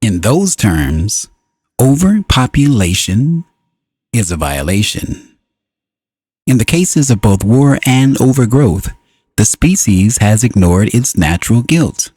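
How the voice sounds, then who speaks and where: Slow, low-voiced man reading aloud. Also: very animated, darkish tone, slightly rough, no echo. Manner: deliberate, solemn phrasing with frequent pauses. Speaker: audiobook reader. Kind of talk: scripted book narration.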